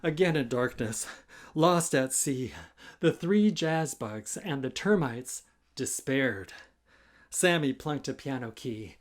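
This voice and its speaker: Man, children's author, unhurried pace, expressive rhyming storytime reading, chanting manner. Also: mid-range voice. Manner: theatrical, chanting, sing-song counting. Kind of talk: expressive musical storytime narration in rhyme.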